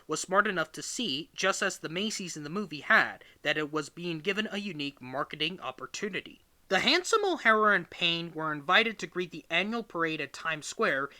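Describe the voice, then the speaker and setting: Male narrator for non-fiction read-aloud: crisp bright tone, swooping melodic delivery, expressive emphasis. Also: on the high side. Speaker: reader of a book excerpt. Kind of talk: scripted read-aloud narration of a magazine-style history.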